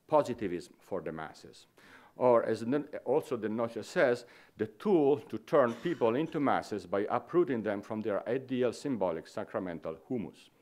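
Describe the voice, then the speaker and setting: A middle-aged man with an Italian accent reads a scholarly lecture from his notes at a conference podium. His voice sits a little low, and his delivery is measured and careful, with the odd restart as he works through long sentences. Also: darkish tone. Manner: measured, reads dense prose with slight restarts. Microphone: podium microphone.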